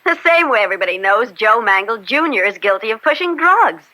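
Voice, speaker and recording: Know-it-all student daughter, highish bright voice, teasing, emphatic, vintage radio drama. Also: female voice, expressive, brisk, rough recording. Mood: opinionated. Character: pert, opinionated college-student daughter of a judge. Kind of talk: old-time radio drama acting.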